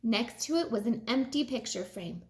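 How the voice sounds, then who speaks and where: A woman reading a children's story aloud in expressive narration. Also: on the high side, moderate pace, echoey room. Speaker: storybook reader.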